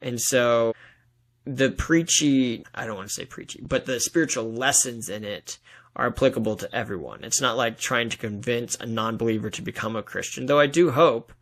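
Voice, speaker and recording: A man's voice: mid-range voice, normal speed, fairly bright tone. A man speaking as a guest author in a casual interview, with an earnest, enthusiastic and informal manner.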